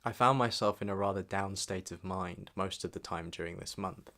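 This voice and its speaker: A man's voice: low-pitched, moderate pace, sharp tone. A young man reading a scripted voice-over that looks back on his own year, in the manner of a personal vlog. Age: young adult. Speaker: vlogger narrating own year in review. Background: background music.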